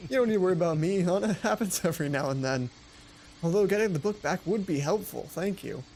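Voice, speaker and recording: A woman voicing a sheepish, easygoing teenage boy in an anime dating game, gentle and reassuring. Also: fairly low pitch, lively intonation, quick pace, a little hiss or hum.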